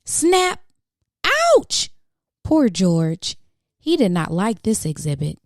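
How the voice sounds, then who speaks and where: A woman's voice: mid-range voice, wildly swooping pitch, takes it slowly, no room sound, clean studio sound. A woman reading a children's picture book aloud as a storytime host, with dramatic questions and exclamations for young listeners.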